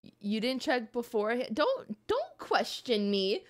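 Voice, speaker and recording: Animated high-voiced woman streamer. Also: measured pace. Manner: playful, expressive, rambling to chat. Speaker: livestreamer. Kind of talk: casual game stream commentary. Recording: game music behind the voice.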